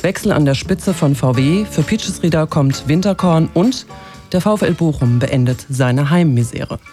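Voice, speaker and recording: Male news presenter, standard German of Germany, slightly raised pitch, soft-edged tone, scripted delivery. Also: expressive, unhurried, faint music behind.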